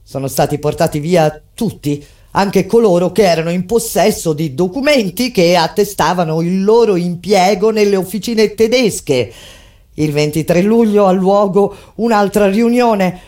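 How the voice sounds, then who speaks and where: An actress playing a grave resistance fighter, delivering a solemn, declamatory theatrical monologue as a recollection of an uprising. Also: female voice, mid-range voice, moderate pace, warm tone, lightly acted. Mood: grave, urgent. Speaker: stage actress narrating. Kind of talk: dramatic theatrical monologue with live music. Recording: music under the voice. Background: music.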